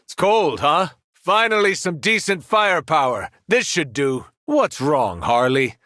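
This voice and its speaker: A commanding superhero from a video game. His voice sits a little high with a bright, forceful edge. He delivers each phrase earnestly and deliberately, in a very dry recording. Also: big pitch swings, no echo, heavily acted. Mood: taunting, scornful. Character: powerful male superhero, once kindly, now menacing. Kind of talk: acted video game voice lines.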